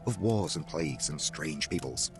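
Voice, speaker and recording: A man's voice: very deep voice, animated, moderate pace. A man reading a horror story as a dramatic, scripted narration. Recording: music behind the narration.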